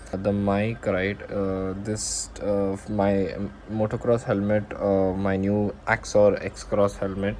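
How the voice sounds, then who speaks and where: Low-pitched Indian man, noisy recording. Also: darkish tone. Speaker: motovlogger presenting own gear. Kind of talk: casual unscripted product show-and-tell.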